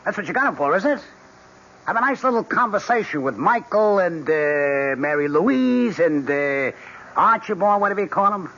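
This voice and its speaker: An actor playing a gruff, dismissive husband, blunt and impatient, in a 1970s radio drama. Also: male voice, high-pitched, animated, moderate pace, bright, forceful tone, heavily acted. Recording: dull 1970s radio broadcast with faint hiss.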